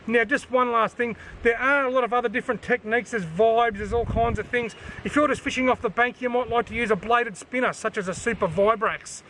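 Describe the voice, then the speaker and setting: Chatty Australian man, fairly high voice. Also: steady tone, brisk, few pauses, bright, forceful tone, a little hiss or hum, low-quality recording. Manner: chatty, practical, repeats key points for the viewer. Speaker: fishing channel host. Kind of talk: casual to-camera explainer. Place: outdoors at the edge of a forest. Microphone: camera on a tripod at chest height.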